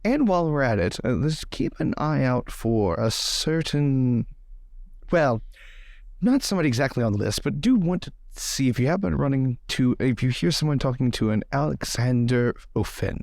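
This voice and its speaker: A man's voice: medium pitch, animated, moderate pace, gravelly, a little mellow, dry recording, clean studio sound. A man playing a tabletop roleplaying game, moving between in-character talk and table chat with wordy, pedantic explanations.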